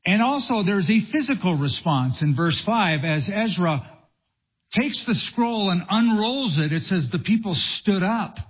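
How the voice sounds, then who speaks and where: A man preaching an expository sermon as a pastor, with a husky voice and deliberate, emphatic phrasing built on rhetorical questions. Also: high-pitched, lively intonation, highs cut off.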